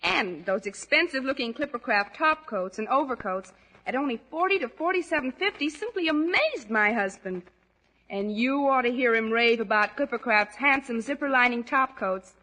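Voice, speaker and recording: An American woman in a vintage radio commercial. Her voice is slightly high and bright, with the scripted delivery of a 1940s testimonial. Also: expressive, moderate pace, poor audio. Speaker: advertising testimonial speaker.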